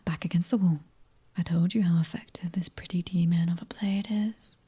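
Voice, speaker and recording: A British woman voicing a cocky, fiery mercenary in an ASMR roleplay, teasing and breathy, often dropping close to a whisper. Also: lowish voice, steady tone, moderate pace, warm tone. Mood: menacing, taunting. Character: fiery, cocky young female mercenary, prickly and taunting. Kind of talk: ASMR audio roleplay acting.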